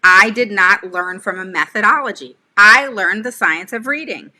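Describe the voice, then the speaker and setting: A woman's voice: medium pitch, moderate pace, bright, forceful tone. A woman in her forties giving a casual, chatty livestream lesson, speaking emphatically and often checking in with the listener. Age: 40s. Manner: emphatic, chatty, checks in with "all right?" and "okay?". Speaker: host, special education advocate giving advice. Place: small room at home, indoors.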